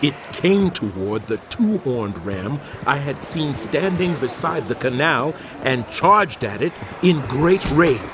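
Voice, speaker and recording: A man's voice: mid-range voice, background noise. A man playing a troubled prophet, recounting visions in solemn, dramatic scripture narration.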